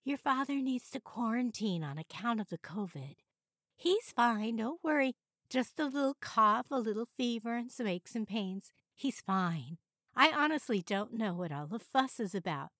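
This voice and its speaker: Fussy elderly mother played by a woman narrator, chatty and rambling, pitched up, dry audiobook sound. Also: fairly bright tone, dry recording, exaggerated voice.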